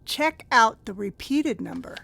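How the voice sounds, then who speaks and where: A woman's voice: on the high side, animated, unhurried, vocal fry, fairly bright tone, heavily acted. A woman playing a sly, confident newspaper reporter in a contemporary radio drama. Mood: sly, pleased.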